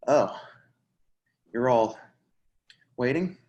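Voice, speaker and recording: Casting: man as breathless, knowing applicant for a stage play reading, mid-pitched, conversational. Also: lively intonation, drawn-out words, darkish tone, highs cut off. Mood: flustered, breathless. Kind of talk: readers theatre play reading.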